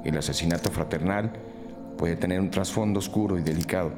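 A sober male detective with a very deep voice lays out evidence methodically. It is scripted crime podcast drama, with music plainly underneath. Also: a little fast. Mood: sombre. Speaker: detective reporting case facts.

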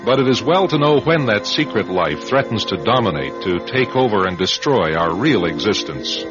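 A man hosting a radio drama with measured, formal scripted narration. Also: fairly low pitch, lively intonation. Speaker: host, writer and director of radio drama. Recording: dull radio recording. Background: loud music.

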